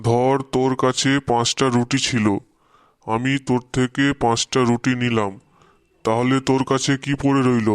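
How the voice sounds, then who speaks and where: A man's voice: medium pitch, level tone, moderate pace, smooth, no echo, studio quality, close to an everyday voice. Man playing a stern scolding schoolteacher. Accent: Bengali. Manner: scolding, threatening. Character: scolding middle-aged schoolteacher, strict and exasperated.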